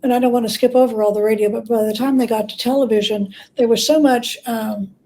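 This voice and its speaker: Woman, higher-pitched, soft mellow tone, conversational expert interview, halting search for words. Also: fairly even intonation. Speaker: guest expert, biographer and scholar. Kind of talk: conversational interview, explaining history.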